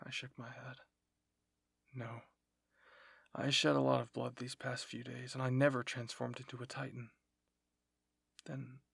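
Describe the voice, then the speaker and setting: A man's voice: mid-range voice, creaky, fairly bright tone, husky, dry recording. A young man narrating an anime fan fiction dramatically as a channel host, close to the microphone. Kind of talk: dramatic story narration, ASMR roleplay reading.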